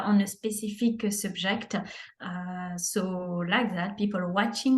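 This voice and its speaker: Slow, hesitant woman with a French accent. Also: mid-range voice. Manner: hesitant, many fillers, laughs often. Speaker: guest, French tutor. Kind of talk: video call interview.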